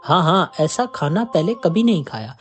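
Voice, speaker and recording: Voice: medium pitch, expressive, moderate pace, natural voice. A storyteller voicing a greedy, conniving villager in a folk tale. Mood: wishful.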